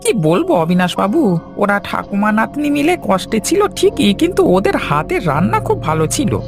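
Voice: medium pitch, sing-song, fairly quick. An actor playing a suspicious, insistent and gossipy villager in a horror cartoon. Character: suspicious villager snooping on a haunted eatery. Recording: music behind the voice.

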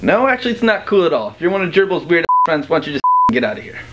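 Man acting sarcastic, higher-voiced exasperated guy. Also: very animated, rapid-fire, very noisy. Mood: irritated, sarcastic. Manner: sarcastic, slangy. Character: exasperated, sarcastic young guy missing his beer. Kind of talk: amateur short film acting.

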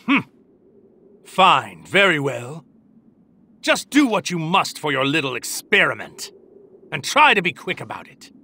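Slow, pompous evil god. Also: male voice, on the high side, sing-song, sharp tone, full character voice. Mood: impatient, condescending. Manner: pompous, condescending. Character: haughty evil male god, disdainful of mortals. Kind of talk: English anime game voice acting.

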